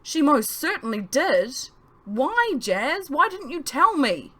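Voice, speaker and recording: A woman's voice: high-pitched, sing-song, slow, fairly bright tone, pitched above the performer's own voice, full character voice. A woman voicing an anguished, protective male mentor in an audiobook, speaking with emphatic, pleading intensity.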